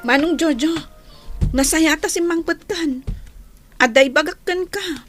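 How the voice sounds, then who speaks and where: A woman's voice: high voice, unhurried, soft background music. A woman with an Ilocano accent playing a worried, loyal younger sister in a radio drama. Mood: earnest.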